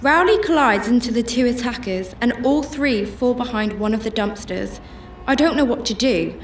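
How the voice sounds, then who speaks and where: A woman's voice: fairly high pitch, normal speed, a little hiss or hum, lightly acted. A woman playing an anxious, tender heroine who narrates her own story in a radio drama with earnest, descriptive delivery. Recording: echoey, distant-sounding with music bed.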